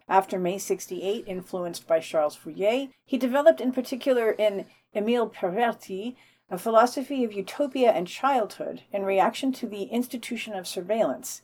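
A woman's voice: mid-range voice, mellow. A woman co-hosting a podcast, reading scripted news items and quotes with wry commentary. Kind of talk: scripted narration with read quotes and brief commentary.

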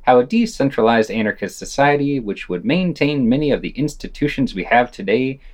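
A man's voice: mid-range voice, fairly quick, no room sound. A man reading scripted essays and news commentary as a podcast host.

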